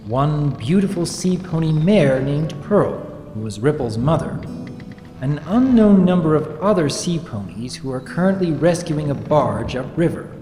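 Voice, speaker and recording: A man's voice: on the high side, expressive, measured pace, dark tone, faint music behind. A man voicing a kindly, formal young stallion baron in a dramatic story reading. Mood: formal dictation. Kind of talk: dramatic fan fiction reading. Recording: echoey, long reverb, faint background noise.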